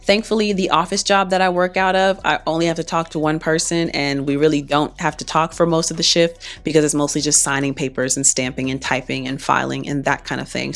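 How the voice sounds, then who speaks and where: A young adult woman and singer chatting casually to camera in a soft-spoken, reflective way while recovering from laryngitis. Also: deep voice. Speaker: singer and vlogger. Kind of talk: casual talking-to-camera vlog.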